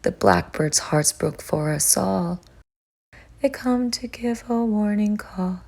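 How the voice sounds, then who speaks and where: A woman voicing an eerie chanter who recites a foreboding rhyme in a breathy, creaky whisper for a horror audio play. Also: mid-range voice, animated, darkish tone, a little hiss or hum. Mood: ominous. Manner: slow, foreboding. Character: eerie female chanter of a creepy scarecrow rhyme.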